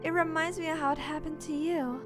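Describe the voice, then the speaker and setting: A woman playing a caring, supportive older sister with a gentle, reassuring manner in an audio drama reading. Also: high voice, steady tone, a little fast, music in the background, lightly acted. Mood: concerned, supportive.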